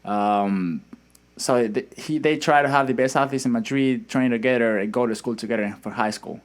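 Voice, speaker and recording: A young man from Spain, a swimmer guest in a casual interview, talking in a relaxed, hesitant way with many fillers and restarts. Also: mid-range voice, normal speed. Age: young adult.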